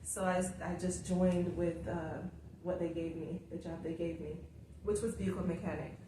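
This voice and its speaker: A woman's voice: fairly low pitch, steady tone, moderate pace. A woman, a guest telling her story in a casual interview and laughing often. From Jamaica. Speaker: guest, author coach. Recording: roomy echo, distant mic, faint hiss.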